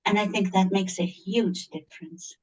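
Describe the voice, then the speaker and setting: A woman's voice: medium pitch, fairly even intonation, normal speed, distant mic, dull audio. A woman from Egypt with an Egyptian accent, a teacher answering interview questions earnestly and repeating phrases for emphasis. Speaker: teacher, guest.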